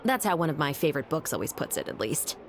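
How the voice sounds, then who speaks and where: Serious young patrol officer, composed. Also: female voice, low voice, sing-song, quick pace, loud music behind the voice. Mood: brisk, serious. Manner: composed, formal, earnest. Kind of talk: anime-style video game voice acting.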